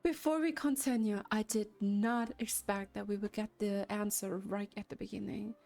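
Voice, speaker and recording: A woman hosting a game reaction, commenting casually in a halting, musing way with plenty of filler words. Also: mid-range voice, steady tone, vocal fry, dark tone. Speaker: reaction video host.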